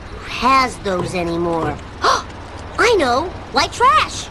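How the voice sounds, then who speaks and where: Mischievous American boy, cartoon game character, high pitch, swooping cocky slangy delivery. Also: wildly swooping pitch, takes it slowly, very noisy, full character voice. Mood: scheming. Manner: brash, slangy. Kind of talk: animated cartoon video game acting.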